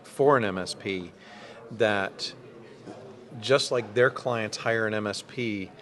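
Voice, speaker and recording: A man with a somewhat low voice hosts an interview, asking questions and summing up his guest's points in an easy conversational way. The crowd noise of a trade show floor surrounds him. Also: takes it slowly, a bit bright. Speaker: interviewer host. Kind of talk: conference booth interview. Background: crowd chatter.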